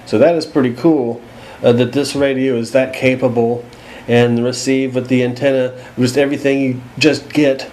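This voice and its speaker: Casting: American male demonstrator, unscripted and explanatory, medium pitch, indoor recording with noticeable noise behind. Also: little pitch movement, normal speed, a little mellow, low-quality recording. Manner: plain-spoken, explanatory. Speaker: host demonstrating a radio. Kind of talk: casual unscripted product walkthrough. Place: indoors at home.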